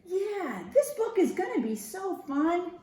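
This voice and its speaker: A woman voicing an eager, chatty, naive little mouse from a picture book, excitable and chattering. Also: very high voice, slow pace, slight background noise, voice pushed up, heavily acted. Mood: cheerful, eager. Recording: roomy echo.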